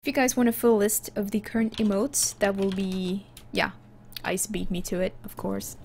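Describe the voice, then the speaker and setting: A woman's voice: mid-range voice, little pitch movement, moderate pace, gravelly, a little mellow, a little hiss or hum. A woman from Finland, a native Finland Swedish speaker, chatting casually on a livestream and answering her chat, with frequent restarts. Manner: chatty, restarts often, reads chat aloud. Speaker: streamer.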